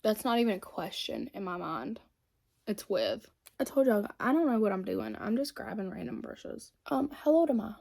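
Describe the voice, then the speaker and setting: A woman's voice: medium pitch, very animated, normal speed, gravelly, darkish tone. A woman, chatting casually and rambling while she does her makeup. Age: 20s. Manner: chatty and rambling, many fillers. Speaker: beauty vlogger.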